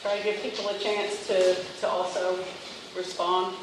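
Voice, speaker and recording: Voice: mid-range voice, level tone, normal speed. A county staff facilitator summarizing public feedback at a community meeting, talking loosely with many ums and restarts. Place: large indoor meeting room. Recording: echoey hall, distant mic, faint noise.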